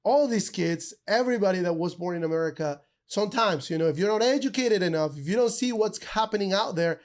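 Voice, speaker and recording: A man from Ecuador, a podcast guest, telling stories in an animated, casual way, full of "bro" and "dude". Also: high-pitched, fast. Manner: animated storyteller, says bro and dude a lot.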